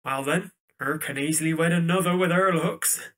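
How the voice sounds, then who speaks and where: A man's voice: a little high, normal speed, fairly bright tone, recorded from a distance, lightly acted. A man playing a cheeky elderly milkman in an audio drama, with a rustic West Country accent. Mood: curious. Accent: English West Country.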